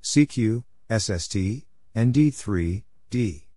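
A man reading out practice exchanges as a narrator, in a measured way, spelling out letters one at a time. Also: fairly low pitch, lively intonation, mellow, no room sound, clean studio sound. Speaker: Morse code practice narrator.

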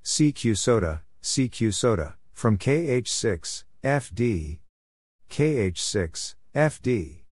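A man reading radio contact exchanges aloud as a practice narrator, spelling out call signs and numbers in a measured way. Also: mid-range voice, very animated, darkish tone, no room sound, studio quality. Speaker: Morse code practice narrator.

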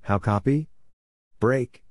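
A man's voice: mid-range voice, takes it slowly, a little mellow. A man reading out scripted ham radio exchanges in short, clipped phrases. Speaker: narrator of Morse code practice.

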